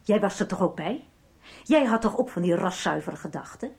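A woman's voice: medium pitch, animated, moderate pace, gravelly, a little mellow. An actress in a 1990s radio soap playing a menacing, scornful woman who taunts and commands as she presses a man for information. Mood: threatening, scornful.

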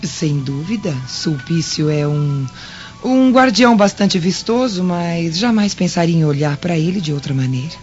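A woman's voice: lowish voice, expressive, normal speed, darkish tone, close to an everyday voice. A Brazilian woman acting a dignified, suspicious Roman governor's wife in a radio drama, composed and pointed. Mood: wry, composed. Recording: muffled lo-fi audio, music underneath.

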